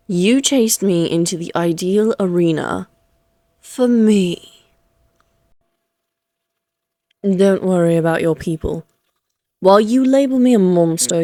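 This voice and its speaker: A woman's voice: lowish voice, a little mellow, dry recording. A woman playing an elegant, condescending female vampire in a Victorian fantasy audio drama, speaking in a poised, archly formal, teasing manner. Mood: mocking, amused. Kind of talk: scripted roleplay audio drama monologue.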